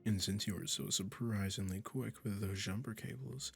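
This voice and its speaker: A low, gravelly male witch acted by a man, possessive and obsessed, speaks in an unhurried, deliberate drawl. He coaxes with condescending sweetness and quiet menace, in an intimate roleplay audio drama with music behind him. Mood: smitten, smug. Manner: soft coaxing, condescending endearments, controlling, deliberate.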